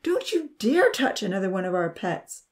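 A woman's voice: medium pitch, very animated, moderate pace, close to an everyday voice. A woman voicing an indignant young male farmer in a story, exclaiming in a protective, alarmed manner. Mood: angry.

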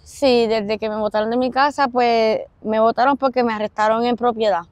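A young woman with a Puerto Rican accent giving personal testimony in an interview, halting and pausing mid-sentence. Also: a little high, fairly even intonation, no room sound, muffled recording. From Puerto Rico. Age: young adult. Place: outdoors in an open park.

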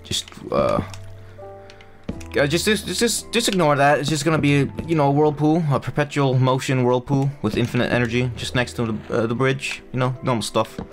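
A man's voice: medium pitch, lively intonation, normal speed, faint music behind. A young man, not a native English speaker, chatting loosely over his gameplay with lots of fillers and restarts. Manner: rambling, self-mocking, full of fillers and restarts. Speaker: gaming YouTuber. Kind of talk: casual gameplay commentary.

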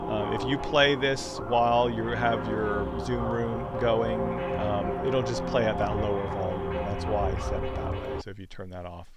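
A man giving a casual, easygoing software tutorial as host, thinking aloud as he goes. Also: on the low side, level tone, normal speed, hardly any pauses. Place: indoors at a computer, screen-recorded demo. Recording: music bed playing behind the voice. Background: music.

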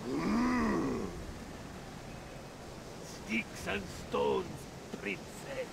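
A man voicing a sneering, menacing thug with a gravelly voice in a video game. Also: sing-song, extremely slow, full character voice. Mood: mocking. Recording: loud rumbling background noise.

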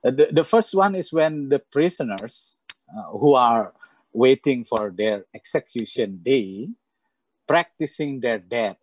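A middle-aged man from Bali with an Indonesian accent, interviewing reflectively and haltingly over a video call on an earphone mic. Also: medium pitch, a little mellow, a little hiss or hum, rough recording. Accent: Balinese Indonesian. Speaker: interviewer, moderator. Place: book-lined room, indoors, video call. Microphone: earphone headset mic.

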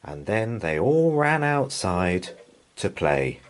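A man reading a children's picture book aloud in a gentle, lively, sing-song way. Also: low-pitched, slow pace, slight background noise. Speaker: storybook reader.

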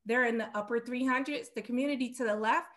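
High-voiced middle-aged woman, casual guest. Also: fast, a bit bright. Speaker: guest real estate investor. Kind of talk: casual business interview. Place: room at home, indoors, on a video call.

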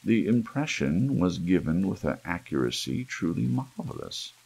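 Male audiobook narrator for gothic horror, husky and gravelly, animated pitch swings, measured dramatic pauses, ASMR style. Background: soft rain.

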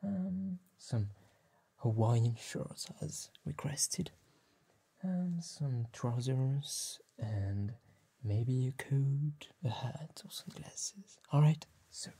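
A man, playing a polite, attentive tailor in a gentle, whispered and soft-spoken ASMR roleplay, with hesitant pauses. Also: medium pitch, gravelly, slightly rough. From France. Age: 20s. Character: polite, attentive bespoke tailor serving a client. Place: small dim tailor's workshop set, indoors.

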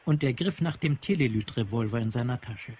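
A man's voice: mid-range voice, a little fast, darkish tone, slight background noise, close to an everyday voice. A man narrating a science fiction radio drama in a sober, scripted storytelling manner. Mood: measured.

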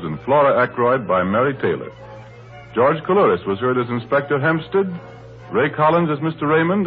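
Tinny 1930s male radio announcer. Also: mid-range voice. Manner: formal, polished. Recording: tinny, band-limited 1930s radio broadcast. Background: faint music.

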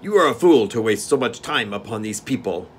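A man voicing a gruff male gnome steward who growls and scolds his master bluntly. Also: fairly low pitch, lively intonation, brisk, a little hiss or hum, lightly acted. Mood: gruff, scolding.

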